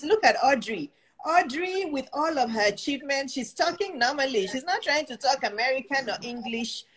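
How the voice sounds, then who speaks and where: A Nigerian woman hosting a chat as a coach, encouraging and animated, often laughing as she talks. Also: high voice, low-quality recording. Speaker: host and coach. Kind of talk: casual video-call chat.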